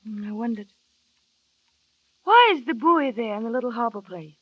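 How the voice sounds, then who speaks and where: An actress playing a shy, insecure young wife who narrates wistfully and asks hesitant, earnest questions, on an old radio drama. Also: female voice, on the high side, lively intonation, normal speed, no room sound. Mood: anxious. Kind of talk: 1940s radio acting. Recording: dry, dull vintage radio recording.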